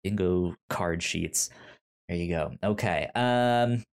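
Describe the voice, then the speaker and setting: A man hosting a podcast in casual conversation, halting and often stammering over repeated words. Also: deep voice, clean tone. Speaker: podcast host.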